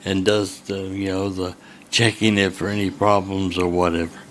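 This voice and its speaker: A man in his sixties hosting a podcast, asking questions with halting starts and fillers. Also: low-pitched, flat delivery, a little hiss or hum. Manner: halting, many uhs and restarts. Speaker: podcast host. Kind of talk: casual podcast interview.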